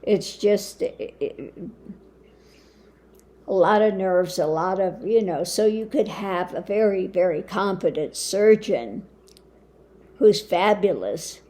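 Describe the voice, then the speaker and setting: A woman talking casually to her viewers in a halting way, her voice lowered and weakened after throat surgery. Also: expressive, mellow. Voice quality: lowered, limited voice. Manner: slow, halting, with many fillers. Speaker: spiritual channel host. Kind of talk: casual monologue to camera.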